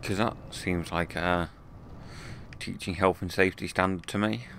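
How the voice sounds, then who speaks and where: A young man with a hoarse voice, giving chatty, wry let's play commentary and swearing now and then. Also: low voice, steady tone, normal speed, background noise. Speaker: gaming YouTuber.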